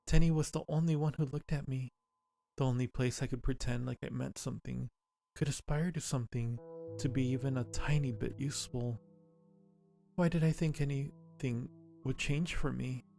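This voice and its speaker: A man's voice: medium pitch, vocal fry, warm tone, close to an everyday voice. Man voicing a self-loathing, anguished female monster. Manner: anguished, repetitive muttering. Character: self-loathing, hungry female vampire mole-like digger. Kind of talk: reading game dialogue aloud. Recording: game music behind the voice.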